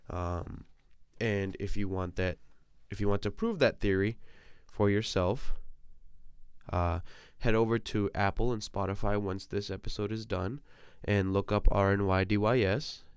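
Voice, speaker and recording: Deep smooth-voiced young man. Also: no echo, clean studio sound. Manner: casual, ranting, swears freely. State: recovering from a cold. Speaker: podcast host. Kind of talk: solo sports talk. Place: small compact room.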